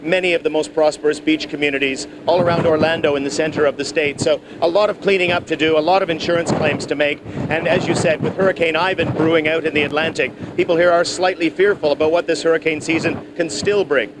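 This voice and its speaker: A man's voice: a little high, fairly even intonation, fast talker, hardly any pauses. A man giving a live, unscripted news report from outdoors, explaining things as he goes and correcting himself. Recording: outdoor location report with clear noise.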